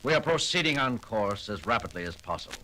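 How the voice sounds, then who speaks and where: An actor playing a commanding male starship captain who talks in a brisk way, giving orders, in a 1970s audio drama. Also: on the low side, big pitch swings, pushed and bright, low-quality recording.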